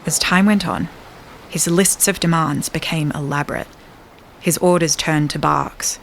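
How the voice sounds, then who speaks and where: A woman narrating a scripted audio fable in a measured, wryly ornate storybook manner. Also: deep voice, creaky, a little hiss or hum. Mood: wry storytelling. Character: wry, grandiose storybook narrator of an island fable.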